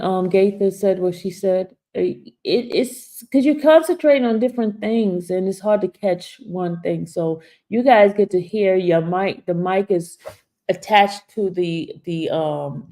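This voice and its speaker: A woman's voice: on the low side, measured pace, mellow. A woman in her fifties hosting a casual live stream, teasing viewers by name and talking earnestly about her stress. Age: 50s. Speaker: livestream host reading viewer comments.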